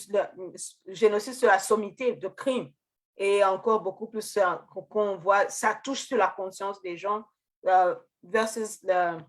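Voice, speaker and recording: A woman moderating an online meeting over a video call, speaking with many pauses and fillers as she thanks speakers and passes the floor. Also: mid-range voice.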